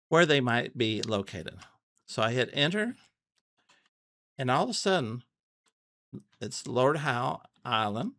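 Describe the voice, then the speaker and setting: A man giving a casual, unscripted tutorial, thinking aloud haltingly and repeating phrases as he goes. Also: mid-range voice, a bit bright, no echo. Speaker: amateur radio tutorial host. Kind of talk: casual unscripted screen walkthrough.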